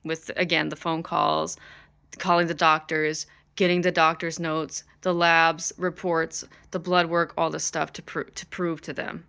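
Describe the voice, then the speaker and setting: A woman's voice: low-pitched, flat delivery, unhurried, vocal fry, fairly bright tone, clear, resonant tone. A woman vlogging to camera, stressed and exasperated, venting with frequent swearing. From United States. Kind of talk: ranting to camera.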